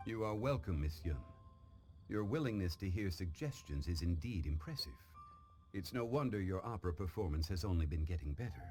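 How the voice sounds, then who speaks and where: A man playing a learned, composed gentleman in a video game, speaking in a formal, measured, courteous way. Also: sits low, expressive. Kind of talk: animated game cutscene dialogue. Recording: music behind the voice.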